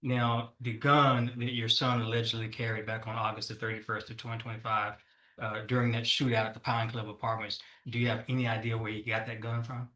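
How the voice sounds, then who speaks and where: A man speaking as a prosecutor in court, formal and somewhat halting as he addresses the room. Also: mid-range voice, little pitch movement, pushed and bright, low-quality recording. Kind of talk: courtroom questioning and argument.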